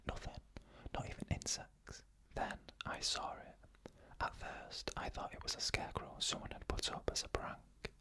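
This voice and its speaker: Whispering ASMR storyteller, crisp breath. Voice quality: unvoiced whisper throughout. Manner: hushed, slow, suspenseful storytelling. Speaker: ASMR narrator.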